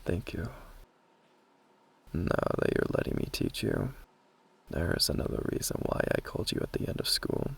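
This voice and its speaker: A man playing an earnest, caring magic academy teacher in a soft, whispery ASMR roleplay, speaking in a measured, gently formal way. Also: huge pitch swings, slight background noise, heavily acted. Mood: quietly conspiratorial. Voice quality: whispery, breathy, mostly unvoiced. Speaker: roleplay voice performer. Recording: low-quality amateur recording.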